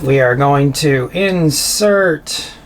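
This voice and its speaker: Slow-talking DIY host, noisy recording. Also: mid-range voice. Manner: informal, talking to self while working. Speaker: DIY boating vlog host. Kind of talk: casual hands-on how-to narration. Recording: clearly noisy on-site recording, clicks.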